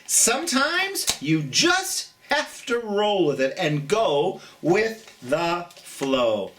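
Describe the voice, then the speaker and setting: Slow, expressive American children's storytime host. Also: mid-range voice, recorded from a distance, a little hiss or hum. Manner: theatrical, gentle delivery aimed at children. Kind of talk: children's picture-book read-aloud with chatty asides.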